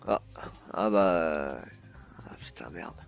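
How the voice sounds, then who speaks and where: A man's voice: a bass voice, expressive, takes it slowly, creaky. A man improvising as a courteous bartender in a roleplay game, speaking politely and formally to customers. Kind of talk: improvised in-character customer service chat. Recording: dull lo-fi voice chat, music behind. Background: music.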